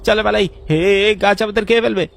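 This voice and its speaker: A man voicing a frightened village man, alarmed and panicky, in an animated horror story. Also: sits high, quick pace, gravelly, voice pushed up. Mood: panicked. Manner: alarmed, fearful.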